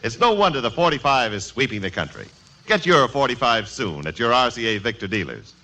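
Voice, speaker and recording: A man's voice: medium pitch, sing-song, a little fast, heavy background noise. An American man announcing a radio show and its sponsor in scripted 1950s broadcast style.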